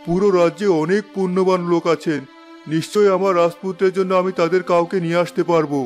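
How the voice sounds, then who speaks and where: A stately fairy tale king acted by a man, with a notably high male voice. He speaks formally, as in a cartoon, over soft music. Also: fairly even intonation, fast, close to an everyday voice. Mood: anxious. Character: anxious, commanding, remorseful fairy tale king. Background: background music.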